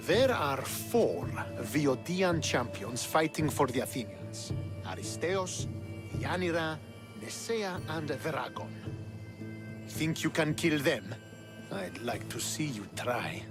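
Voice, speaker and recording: An actor playing a stern, dismissive Spartan army commander in a video game, speaking curtly and skeptically. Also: male voice, fairly high pitch, sing-song, takes it slowly, over music. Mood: cold, dismissive. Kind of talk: dramatic video game dialogue.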